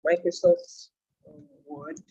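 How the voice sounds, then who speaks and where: A woman's voice: on the low side, expressive, takes it slowly, creaky, mellow, breathy edge, highs cut off. A woman with a Nigerian accent and Yoruba as a native language gives an informal step-by-step tutorial, explaining and thinking aloud as she goes. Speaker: online freelancing trainer.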